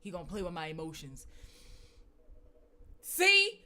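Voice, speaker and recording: A woman co-hosting a live music stream, chatty and upbeat with quick interjections. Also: on the low side, huge pitch swings, sharp tone, slight background noise. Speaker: co-host. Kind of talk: casual livestream chat.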